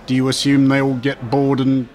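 A man's voice: mid-range voice, monotone, normal speed, a little mellow. A man asking interview questions as a reporter, in a probing, wry way. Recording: faint background noise.

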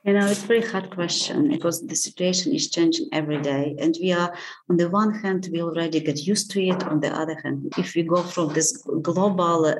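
A woman with a Ukrainian accent answering interview questions about her school, thoughtful and halting, often restarting phrases. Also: on the low side, a little hiss or hum, rough recording. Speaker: architecture school head.

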